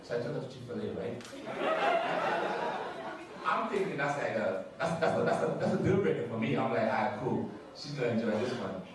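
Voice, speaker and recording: A man's voice: lively intonation, far from the mic, crowd noise. Ghanaian man doing stand-up in an echoey club. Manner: loose, laughing, acts out quick high-pitched impressions of others. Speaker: stand-up comedian. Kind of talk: stand-up comedy set. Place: comedy club stage, room echoing.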